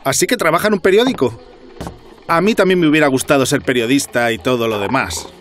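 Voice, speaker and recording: A man's voice: medium pitch, fast, fairly bright tone, a little hiss or hum, natural voice. An actor as a chatty, self-important doorman. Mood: regretful, chatty. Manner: colloquial, repetitive verbal tic. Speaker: building doorman. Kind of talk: radio drama acting.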